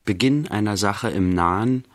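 An actor playing a detached narrator in a radio play, speaking terse, measured poetic fragments. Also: male voice, fairly low pitch, natural voice.

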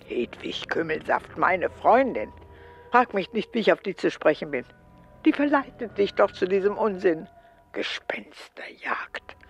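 A woman playing a grumbling but soft-hearted grandmother in a children's radio play, reproachful and dryly dramatic. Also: mid-range voice, very animated, unhurried, faint music behind.

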